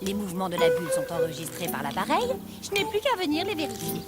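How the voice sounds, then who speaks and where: A woman's voice: medium pitch, wildly swooping pitch, fast. An actress playing a friendly, knowledgeable woman scientist in an educational cartoon, explaining things in a reassuring, instructive way. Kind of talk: animated children's cartoon dubbing. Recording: loud music behind the voice.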